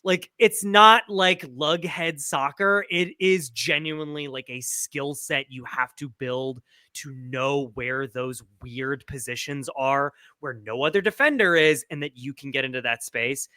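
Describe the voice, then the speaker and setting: A man co-hosting a podcast, giving casual soccer analysis in an animated, emphatic way. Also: mid-range voice, unhurried, few pauses, no echo. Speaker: podcast co-host.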